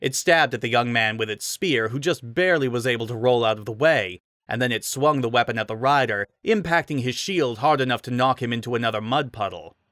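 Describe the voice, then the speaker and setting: A man's voice: medium pitch, a little fast, hardly any pauses, a bit bright, no room sound, clean studio sound. A man giving a dramatic reading of a fantasy story as narrator.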